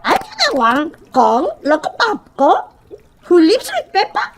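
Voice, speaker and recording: A woman's voice: extremely high pitch, huge pitch swings, unhurried, slight background noise, full character voice. A woman playing an eccentric, theatrical witch and wise oracle in a comic radio play. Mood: mock solemn.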